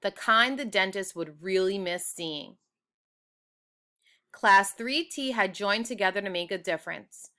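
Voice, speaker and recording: A woman reads a children's book aloud. Her voice is bright and sits at an average pitch. She narrates expressively and addresses her young listeners directly.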